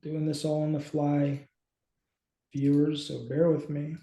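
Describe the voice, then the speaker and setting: A man in his thirties chatting informally as a co-host, thinking aloud in a halting way on a video call. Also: a little high, completely flat delivery, dark tone. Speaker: co-host, app company team member. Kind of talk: casual, informal demonstration chat. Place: small home office indoors. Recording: echoey room on a video call.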